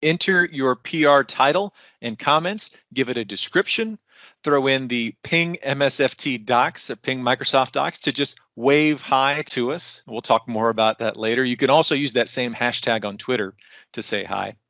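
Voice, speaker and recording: A man's voice: mid-range voice, moderate pace, bright, forceful tone, dry recording, muffled recording. A man giving an informal, conversational instructional walkthrough as a conference presenter. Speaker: conference presenter, documentation team member.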